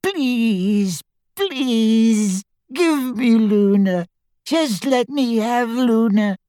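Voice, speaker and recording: Shrill, terrified, pleading father. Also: animated, extremely slow, mellow, clean tone, no room sound, clean studio sound, heavily acted. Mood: desperate sobbing. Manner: desperate, begging, repeating himself. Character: terrified, sobbing, pleading father captured by attackers. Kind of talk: audiobook character voice.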